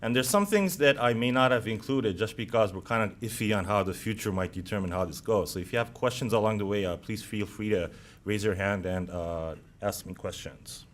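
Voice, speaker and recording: This is a man in his thirties, a native Japanese speaker with a Japanese accent, giving a seminar presentation to a room of listeners. His voice sits a little low. He speaks haltingly and fills his pauses with "uh". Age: 30s. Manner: halting, many "uh" fillers.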